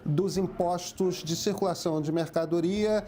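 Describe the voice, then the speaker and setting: A man giving an animated, explanatory documentary interview. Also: high voice, moderate pace. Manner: animated, explanatory, acts out quotes. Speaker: interviewee, history commentator.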